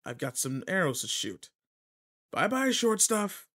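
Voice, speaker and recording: Teenage male delinquent played by a man for roleplay audio: fairly high-voiced, gruff attitude, casual, needling, easily annoyed. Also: big pitch swings, a little slow, bright, forceful tone, heavily acted. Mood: irritated, teasing. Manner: casual, teasing, slangy. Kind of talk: roleplay audio acting addressed to listener.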